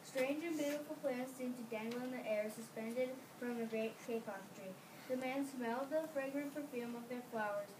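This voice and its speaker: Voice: mid-range voice, normal speed. A child of about nine or ten reading scripted narration for a school readers theater. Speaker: student narrator. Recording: noisy room, distant microphone.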